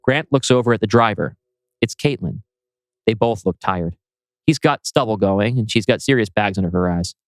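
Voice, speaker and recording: A man's voice: lowish voice, lively intonation, moderate pace, no echo. A man reading scene directions from a script as a podcast host. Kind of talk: scripted narration of a screenplay. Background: music.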